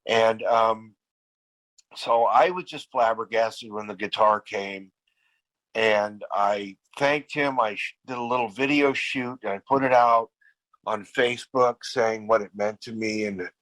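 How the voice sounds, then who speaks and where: Brief: man, lowish voice going hoarse, dry close sound, rambling band-story guest. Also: unhurried, a bit bright, no echo, low-quality recording. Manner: rambling storyteller with many restarts. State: losing his voice. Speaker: podcast guest, band frontman. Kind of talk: casual interview chat.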